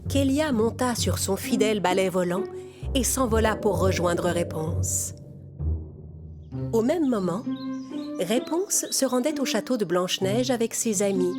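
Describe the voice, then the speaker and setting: A woman storyteller reading scripted fairy-tale narration for children. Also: medium pitch.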